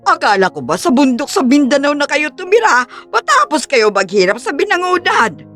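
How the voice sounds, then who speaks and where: A woman's voice: high-pitched, very animated, normal speed, a bit bright, faint music behind. A woman playing a boastful, snobbish middle-aged aunt in an audio drama, with a mocking, gloating manner.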